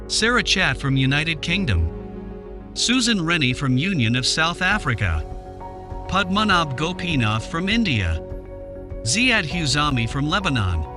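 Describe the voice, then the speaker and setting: A man's voice: on the high side, sing-song, a little slow, music in the background. A man presenting an archive clip, reading a plain scripted introduction.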